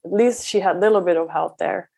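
A woman's voice: lowish voice, fast, vocal fry, warm tone, husky. A woman from the Czech Republic speaking as an interview guest, telling personal stories in a conversational way. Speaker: parenting coach, interview guest.

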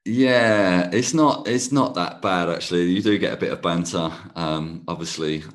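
A man from Kent, a podcast guest, talking in a relaxed, enthusiastic way with a slightly croaky voice. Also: deep voice, moderate pace. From Kent, England. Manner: relaxed, enthusiastic, laughs easily. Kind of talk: casual interview chat.

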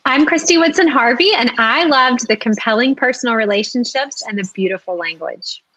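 A woman's voice: fairly high pitch, brisk, sharp tone, no room sound, poor audio. A woman co-hosting a book show in a light, presentational style. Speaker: author and show co-host. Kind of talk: light presentational chat with sponsor reads.

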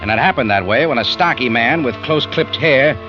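An actor playing a hard-boiled, unflappable American cafe owner in 1940s radio detective style. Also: male voice, medium pitch, animated, brisk, lightly acted. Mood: wry narrating. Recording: dull old radio recording, music behind.

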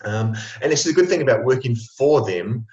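A man in his forties with a New Zealand accent, a coffee trainer talking through his story as a podcast guest, relaxed and full of ums. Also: medium pitch, a little fast, roomy sound, dull audio.

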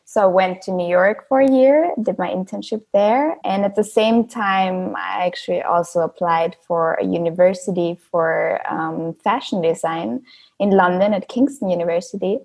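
Young woman from austria chatting about her work, bit of a low voice, lots of um and you know. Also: moderate pace, creaky, a little mellow, husky. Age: young adult. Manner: chatty, loose, frequent fillers like "you know" and "um". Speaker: guest, handbag designer. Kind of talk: casual interview answers.

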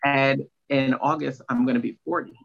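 A man's voice: medium pitch, fairly even intonation, normal speed, gravelly, fairly bright tone. A man in his late thirties speaking candidly as a podcast guest, confiding and emphatic, on slightly muffled audio. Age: late 30s. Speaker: podcast guest, empowerment coach. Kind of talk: candid personal conversation.

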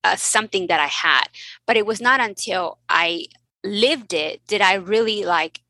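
A woman who grew up in Mexico with Spanish as her first language, telling lively stories as a guest physician in a casual interview. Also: medium pitch, unhurried, no room sound. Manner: animated, laughing storyteller.

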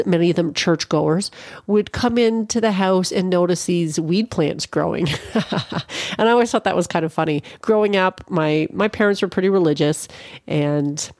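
A woman's voice: lowish voice, a little mellow, dry recording, studio quality. Congested, chatty woman podcaster. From Canada. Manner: chatty, rambling, self-deprecating asides. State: congested, slightly nasal. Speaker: podcast host. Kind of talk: casual solo podcast chat.

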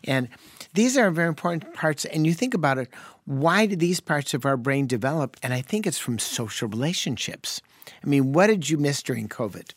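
A man's voice: on the high side, lively intonation, fairly quick, no room sound, studio quality. A man on a radio health call-in show, explaining things in an easygoing way and often restarting sentences.

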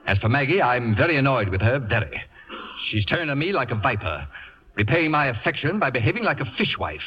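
An actor playing an imperious, acid-tongued, self-centered celebrity in a 1950s radio comedy, pompous and grandly insulting. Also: male voice, medium pitch, big pitch swings, a little fast, close to an everyday voice. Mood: scheming, peeved. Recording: dull old 1950s radio broadcast.

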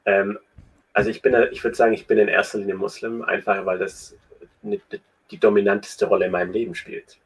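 A man's voice: lowish voice, level tone, fairly quick, husky, poor audio. A man being interviewed, thinking aloud and explaining his views at length with frequent restarts and fillers. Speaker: guest. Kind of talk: conversational interview.

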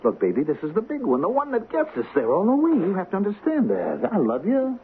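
An actor playing a nervous, bullying crook who snarls threats and then turns wheedling, in a radio drama. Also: male voice, high voice, huge pitch swings, quick pace, background noise. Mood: coaxing. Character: nervous, bullying male crook waiting on a heist payout. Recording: tinny lo-fi radio broadcast.

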